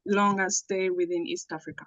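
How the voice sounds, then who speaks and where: A woman from South Sudan introducing herself on a video call, soft-spoken and steady. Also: medium pitch, fairly quick, dry recording. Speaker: event attendee, job seeker. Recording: dull lo-fi call audio.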